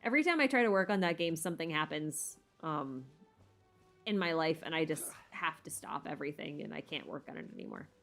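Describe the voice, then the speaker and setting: A woman with a voice a little lower than usual talks casually as a streamer taking questions. She thinks aloud and wanders through her answers. Her manner is modest and self-deprecating, with nothing scripted about it. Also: animated, fairly quick, fairly bright tone, faint music behind. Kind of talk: casual unscripted Q&A chat.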